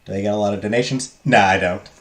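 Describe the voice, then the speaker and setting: A man streaming a visual novel, loudly reading the game text aloud and chatting with his viewers. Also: on the low side, fast talker. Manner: loud, talks loudly. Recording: roomy echo, faint game music.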